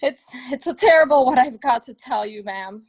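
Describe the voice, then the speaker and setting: A woman playing a shaken, wounded servant in an amateur mystery play, speaking haltingly and dramatically. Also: sits high, animated, a little mellow, breathy edge. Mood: distraught, shaken. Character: distraught, wounded servant reporting a fatal shooting. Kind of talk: amateur murder mystery radio play acting.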